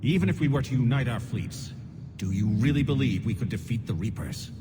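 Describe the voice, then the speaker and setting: A man's voice: animated, brisk, natural voice. An actor playing a stern, defensive male alien councilor in a game, formal and challenging. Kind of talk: dramatic game dialogue. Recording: reverberant chamber, clear rumbling noise.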